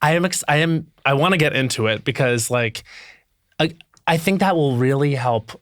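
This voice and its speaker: A man in his thirties co-hosting a podcast, talking in an effusive, halting way with many restarts. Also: mid-range voice, expressive, a bit bright, no room sound, clean studio sound. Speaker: podcast co-host. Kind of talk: casual chat.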